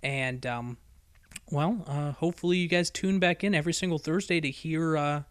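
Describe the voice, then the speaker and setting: A man's voice: a little high, normal speed, fairly bright tone, clean tone, dry recording. A man reading a story aloud as an audiobook narrator. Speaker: audiobook reader.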